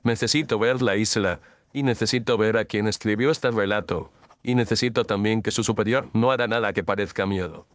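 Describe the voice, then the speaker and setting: A man's voice: fairly low pitch, fairly quick, no echo, lightly acted. A man playing a cool, exacting detective who speaks in precise, commanding pronouncements. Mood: measured. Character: cool, exacting male detective, middle-aged. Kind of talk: radio drama acting.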